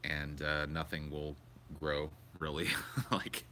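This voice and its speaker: A man's voice: extremely low pitch, little pitch movement, slow, pushed and bright, slight background noise. A young man hosting a casual livestream, rambling crudely and answering his viewers' questions aloud on a portable camera. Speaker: livestream host. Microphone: portable camera or phone carried around.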